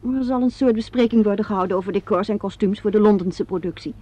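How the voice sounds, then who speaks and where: Quick-talking, witty theatre designer played by a woman. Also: mid-range voice, dark tone, close to an everyday voice. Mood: brisk, informative. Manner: chatty, self-deprecating, brisk. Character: witty, brisk female theatre designer keeping her nerves in check. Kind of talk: vintage Dutch radio play acting. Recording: dull lo-fi vintage radio recording.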